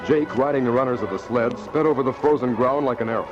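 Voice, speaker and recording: A man's voice: medium pitch, fast, over music. A man narrating and announcing an old-time radio adventure in a dramatic, scripted style. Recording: muffled, noisy old radio transcription.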